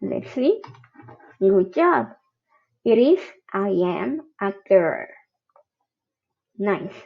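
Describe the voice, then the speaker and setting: A woman teaching a grammar lesson, slowly explaining each example and checking in with the learner. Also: mid-range voice, very animated, vocal fry, breathy edge, muffled recording. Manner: patient, spells out each example. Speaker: English teacher. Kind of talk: slow recorded grammar lesson.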